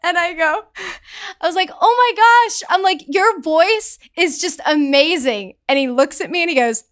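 A young woman who is a podcast guest, telling a story in an excited, gushing way during casual chat. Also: extremely high pitch, moderate pace.

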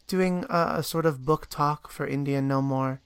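A man's voice: a little high, fairly even intonation, a bit bright, clean tone, no room sound. Earnest podcast host, a man, dry close sound. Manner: earnest, halting with repeated words. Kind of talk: podcast interview.

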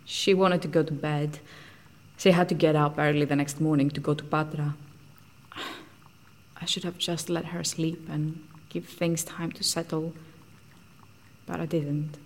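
An actress playing an intense, candid woman scientist in an audio drama, telling of lost love in an earnest, deliberate, wistful way. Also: deep voice, flat delivery. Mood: regretful, subdued. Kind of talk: audio drama acting, confessional storytelling.

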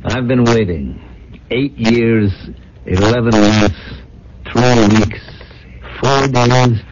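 An actor playing a scheming son-in-law after a fortune in a radio drama, glib and sardonic. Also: male voice, mid-range voice, takes it slowly, close to an everyday voice. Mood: smug, mocking. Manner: sardonic, glib, smooth-talking. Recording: dull, noisy vintage radio recording.

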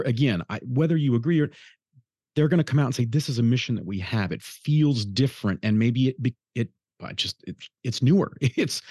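A man's voice: mid-range voice, a little mellow, no echo, clean studio sound. A man from the Detroit area hosting an interview podcast, asking long, meandering questions full of restarts. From Detroit area, USA. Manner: rambling, searching questions with restarts. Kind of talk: conversational interview.

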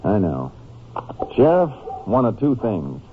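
A resolute Texas Ranger with a very deep voice. He is clipped and matter-of-fact, in old radio crime drama style. The recording is dull with faint background noise. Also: wildly swooping pitch, slow, heavily acted. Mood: businesslike. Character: steady, resolute male Texas Ranger investigator. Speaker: lead detective and case narrator. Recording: muffled, noisy vintage radio recording.

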